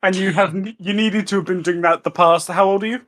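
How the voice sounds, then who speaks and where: A man's voice: high voice, fairly even intonation, brisk, a bit bright, slight background noise. A man hosting a podcast in casual chat, giggling often as he talks. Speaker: podcast host.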